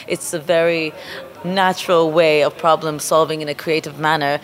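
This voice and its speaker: A woman who directs a design week, answering interview questions at length in an earnest, thoughtful way. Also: deep voice.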